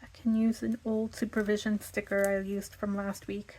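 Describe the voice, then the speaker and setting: A woman with a slightly raspy voice, chatting casually and thinking aloud with lots of fillers as she plans her week. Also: medium pitch, flat delivery, moderate pace, a little mellow, poor audio. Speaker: vlogger. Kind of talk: casual planning chat.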